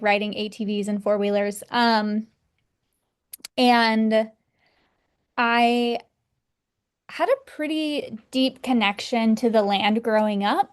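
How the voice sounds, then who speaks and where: Woman, thirties, from Texas, podcast guest, rambling storyteller, fairly high voice. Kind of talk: casual interview.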